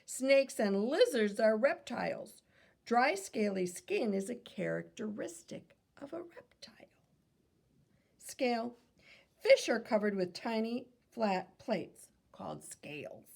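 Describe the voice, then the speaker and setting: Woman, teacherly children's read-aloud, lively intonation, heavy vocal fry, instructive and questioning. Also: a little high, slow. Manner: instructive, posing questions to young listeners.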